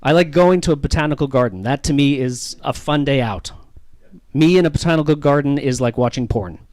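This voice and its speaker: A man's voice: medium pitch, brisk, a little mellow, no room sound. A man with a Canadian accent doing self-deprecating, ironic stand-up comedy and bantering with the audience.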